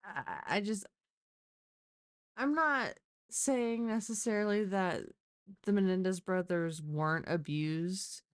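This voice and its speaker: A woman's voice: mid-range voice, slow pace, pauses often, dry recording, studio quality. A woman hosting a live stream, chatting informally and giving opinionated true crime commentary.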